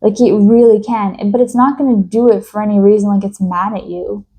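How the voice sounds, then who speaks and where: A young woman from New York talks in a slow, reflective personal monologue, thoughtful and hesitant, with many fillers and restarts. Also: medium pitch, fairly even intonation, dark tone, breathy edge. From United States. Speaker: vlogger.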